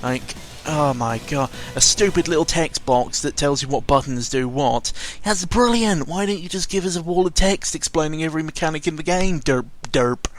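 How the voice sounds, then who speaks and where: A man's voice: on the high side, moderate pace, few pauses. Rambling British man with game music behind. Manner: rambling, sarcastic, mildly sweary. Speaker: video game commentator. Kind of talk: casual unscripted rambling commentary. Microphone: close microphone. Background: video game music.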